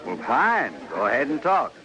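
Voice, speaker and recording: A man's voice: medium pitch, sing-song, normal speed, crowd noise, lightly acted, poor audio. An actor with an American accent playing a cocky, hot-tempered gunman in an old-time radio western, taunting and insolent.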